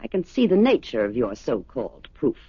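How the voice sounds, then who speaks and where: Middle-aged wife, radio drama: indignant, loyal, very varied intonation, muffled old recording. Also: female voice, rumbling low, sing-song, mellow, slightly rough, no room sound, full character voice. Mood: defiant indignation. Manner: indignant, halting when shaken. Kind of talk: 1970s radio drama acting. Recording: dull vintage radio recording.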